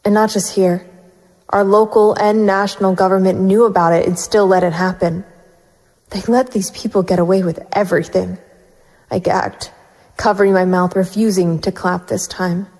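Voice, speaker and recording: Woman as even-toned gritty female private investigator. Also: medium pitch, natural voice, low-quality recording. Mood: bitter, tense. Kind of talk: first-person noir narration in audio drama.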